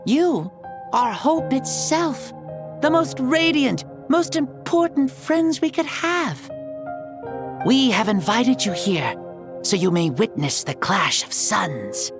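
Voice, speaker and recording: A woman's voice: on the high side, big pitch swings, slow, over music, exaggerated voice. A woman voicing an ancient male warrior god stuck in a boy's body, playful and boastful with a grand manner. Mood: grandiose.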